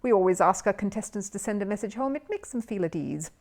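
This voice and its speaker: A woman voicing an excitable male TV quiz show host from a storybook, nervous, hesitant and showy. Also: medium pitch, quick pace, close to an everyday voice. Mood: hurried, nervous.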